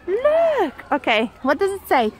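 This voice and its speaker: A woman chatting casually and excitedly to small children, in an exclamatory, coaxing, sing-song way, filmed on a phone outdoors. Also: extremely high pitch, a little slow. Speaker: vlogging mother. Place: outdoors on a woodland trail. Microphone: handheld phone, behind the camera.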